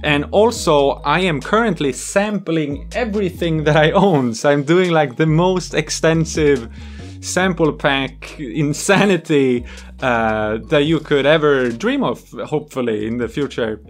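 A man's voice: on the high side, a little slow. A man in his thirties talking in a chatty, enthusiastic way, like a casual demo vlog, into a desk microphone in a small studio. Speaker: music gear video creator, host. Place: small home studio room full of instruments. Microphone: desk microphone on a boom arm. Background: synth music.

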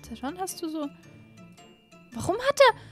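A woman in her twenties giving casual, rambling let's-play commentary in an expressive way, close on a studio microphone. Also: very high voice, moderate pace, soft background music. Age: 20s. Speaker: host of a gaming channel. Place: small indoor streaming room at home. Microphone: close studio microphone on an arm.

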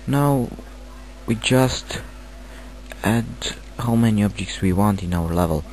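A man with a Romanian accent, not a native English speaker, halting through a screen-recorded software tutorial with many fillers. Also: fairly low pitch, slight background noise, low-quality recording. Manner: hesitant, halting, filler-heavy. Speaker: tutorial maker.

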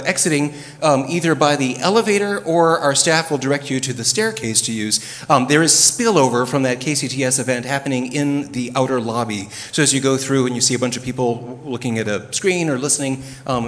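A man's voice: mid-range voice, a bit bright. A middle-aged man moderating a panel on a theater stage, talking into a handheld mic with plenty of fillers and restarts. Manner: chatty, many fillers and restarts. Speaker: moderator, opera dramaturg. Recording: long reverb from the hall.

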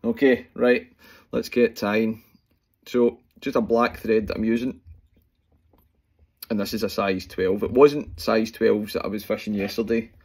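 A Scottish man in his forties talks through tying a fly, relaxed and unhurried, with lots of ums along the way. His voice sits low and a little husky. He is recorded close up on a phone in a small room at home. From Scotland. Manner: relaxed, explains step by step with fillers while working. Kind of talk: casual talk-through tutorial. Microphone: phone camera close up.

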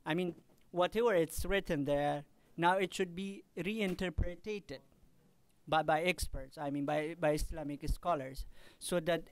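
A man from Afghanistan in the audience, commenting at a conference in halting, careful English full of pauses and fillers. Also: fairly high pitch, no room sound. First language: not Arabic; Afghan language. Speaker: audience member asking a question.

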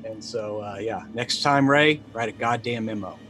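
A man's voice: lowish voice, normal speed, pushed and bright, faint music behind. A man in his forties chatting casually as a guest player on a video call, in hesitant, stop-start phrases. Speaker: tabletop game player, guest. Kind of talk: casual chat. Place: indoor room, on a video call. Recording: clear background room noise.

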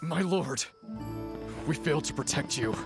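A man's voice: a little high, lively intonation, measured pace, gravelly. An actor playing a worried, deferential male retainer in an anime game, speaking hesitantly to his lord. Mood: anxious, deferential. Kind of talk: anime game trailer acting. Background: very loud trailer music.

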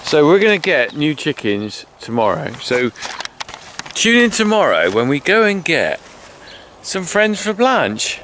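Brief: middle-aged farmer, cheerful and chatty, selfie camera outdoors with noise behind. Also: male voice, on the high side, very animated, a little slow, a bit bright. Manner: cheerful, chatty, asks lots of questions. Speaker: farmer vlogger. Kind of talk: casual vlog chat. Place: outdoors on a farm and in a car. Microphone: handheld camera selfie. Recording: noisy outdoor camera audio.

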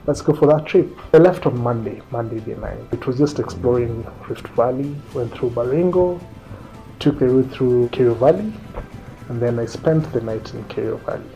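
A man's voice: medium pitch, dark tone, lo-fi sound. A man in his thirties with a Kenyan accent, telling his own story conversationally in a seated interview indoors. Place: small quiet indoor room, seated. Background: faint music.

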